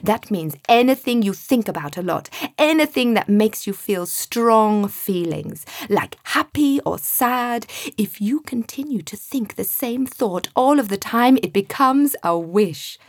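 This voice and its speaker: A woman voicing a kindly male genie who speaks in a calm, gentle, knowing way. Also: fairly high pitch, unhurried, hardly any pauses, no echo, studio quality, voice pushed up.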